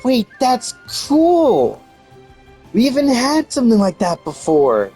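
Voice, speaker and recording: A man doing casual live-stream game commentary, soft-spoken yet projecting, rambling and thinking aloud. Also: very high-pitched, wildly swooping pitch, slow, slightly rough, soft background music.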